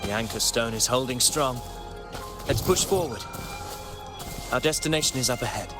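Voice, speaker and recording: An actor playing a proud, courtly warrior in a video game, speaking formally and solemnly. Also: male voice, medium pitch, normal speed, lightly acted. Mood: steadfast. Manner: formal, solemn, archaic phrasing. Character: proud, courtly male warrior seeking to write his own destiny. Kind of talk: scripted video game voice acting. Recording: loud game music behind. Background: game music.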